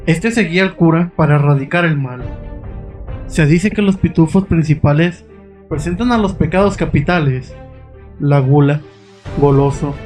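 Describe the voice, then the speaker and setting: A man's voice: on the high side, a little mellow. A man reading scripted, dramatic narration in a suspenseful manner, putting questions to the listener.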